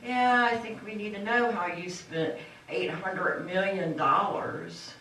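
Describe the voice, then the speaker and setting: A woman's voice: medium pitch, fairly even intonation, takes it slowly, pushed and bright. A woman candidate delivers a fiery, folksy campaign speech with emphatic punches. She is heard from a distance in a very echoey hall. A faint hiss runs underneath. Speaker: candidate for county supervisor. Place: large echoey meeting hall.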